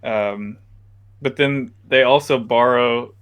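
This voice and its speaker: A young man co-hosting a podcast, chatting casually with many pauses and fillers. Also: medium pitch, steady tone, fairly bright tone, smooth. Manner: easygoing, hesitant, lots of fillers. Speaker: podcast co-host. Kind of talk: casual chat.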